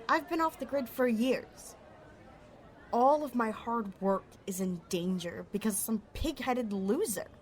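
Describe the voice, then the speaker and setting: A woman's voice: fairly high pitch, bright, forceful tone, roomy sound, in a large hall, a little hiss or hum, full character voice. A woman playing a cocky, sarcastic young pickpocket outlaw in a sci-fi audio roleplay, muttering wryly to herself. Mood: panicked, indignant. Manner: wry, sardonic, slangy, talking to herself.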